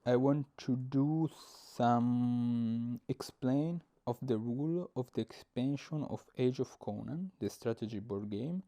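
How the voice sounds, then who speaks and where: A man's voice: mid-range voice, warm tone, highs cut off. A young man with an Italian accent, explaining board game rules in hesitant English, often stopping to search for words. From Italy. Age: young adult. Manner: halting, searching for words, many fillers.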